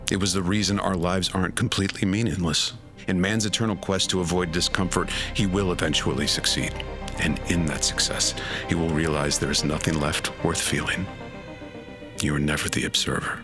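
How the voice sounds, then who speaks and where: Man playing grieving scientist, grave n solemn, really low voice, thriller audio drama, music blaring behind. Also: natural voice. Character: grave, grieving male cognitive scientist confessing dark secrets. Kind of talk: scripted audio thriller monologue. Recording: very loud dramatic music bed.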